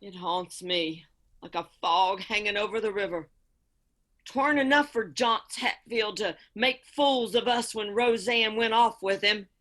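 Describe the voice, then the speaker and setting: Actress as bitter middle-aged Appalachian mother in mourning, radio drama; hard-edged, slow, reproachful delivery. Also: mid-range voice, fairly bright tone, lightly acted. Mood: indignant. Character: bitter grieving backwoods mother, fierce and reproachful.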